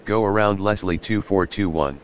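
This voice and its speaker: A low-pitched, businesslike male pilot acted by a man for a flight control game. He gives clipped, procedural radio readbacks with a flat delivery. Faint engine noise sits behind the voice. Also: moderate pace, lo-fi sound, lightly acted. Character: businesslike male airline pilot answering the tower.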